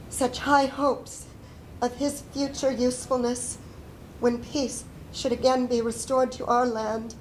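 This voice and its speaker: A devout, mournful mother of the Civil War era , played by an older woman, reads her letter aloud on stage. Her voice is fairly high and very slow, solemn and deliberate with grief. A slight rumble sits behind her. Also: rough recording. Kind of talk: dramatic letter reading. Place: indoor stage before a projection screen.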